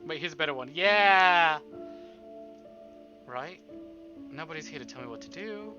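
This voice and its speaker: A man's voice: on the high side, lively intonation, unhurried, sharp tone, highs cut off. A man live streaming, reading a story aloud and adding playful, exclamatory asides to his chat. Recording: music behind the voice.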